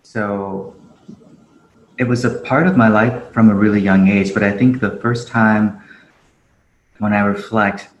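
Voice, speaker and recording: Low-voiced man in an echoey room. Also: fairly even intonation, a little slow, pauses often, highs cut off, a little hiss or hum. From grew up in Japan. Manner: thoughtful, many fillers, laughs easily. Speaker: podcast guest. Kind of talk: casual reflective conversation. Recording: roomy echo.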